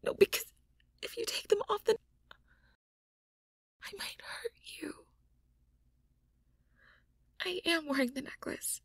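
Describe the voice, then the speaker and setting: A woman playing a shy, anxious young mage girlfriend in an intimate audio roleplay, hesitant and stammering in a breathy whisper. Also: very high voice, animated, slow pace, long pauses, fairly bright tone, no echo, studio quality, heavily acted. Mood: pleading, anxious. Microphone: close microphone, dry.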